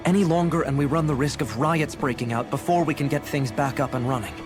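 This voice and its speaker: An actor playing a worried official, speaking formally and with urgency. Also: male voice, on the high side, fast talker, music in the background, natural voice. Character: worried official reporting unrest to his lord.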